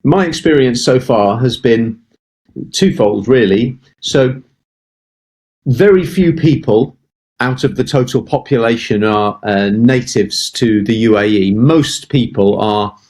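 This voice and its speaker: A man's voice: mid-range voice, slow pace, a little mellow. A middle-aged man, a sales expert, answering interview questions with emphatic advice and repeated words for stress.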